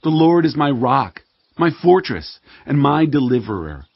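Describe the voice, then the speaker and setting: A man's voice: medium pitch, big pitch swings, measured pace, creaky, husky, no echo, full character voice. An actor playing a devout warrior king, reciting a psalm of praise in a solemn, fervent, declamatory manner. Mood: reverent, grateful. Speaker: Bible voice actor. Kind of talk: dramatized scripture reading. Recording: dull audio with music behind.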